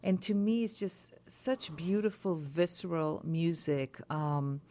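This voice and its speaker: A woman cellist as a radio interview guest, talking thoughtfully with many pauses and restarts. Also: on the low side, warm tone. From Israel.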